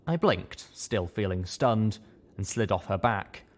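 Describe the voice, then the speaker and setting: A man reading first-person fiction aloud with steady, dramatic storytelling. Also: lowish voice, unhurried. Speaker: audiobook reader.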